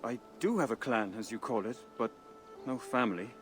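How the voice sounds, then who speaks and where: A man playing a modest, devoted disciple of a secret brotherhood in a video game, speaking politely, gently and formally. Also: mid-range voice, expressive, normal speed, close to an everyday voice. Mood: earnest, humble. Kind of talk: dramatic video game acting. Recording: light music behind the voice.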